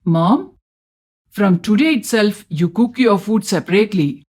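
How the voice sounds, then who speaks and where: A meek married son from an animated moral tale, acted by a woman. The voice has an Indian accent and a medium pitch for a woman, plain and submissive. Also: a little slow. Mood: sheepish. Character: meek henpecked adult son, dutiful husband.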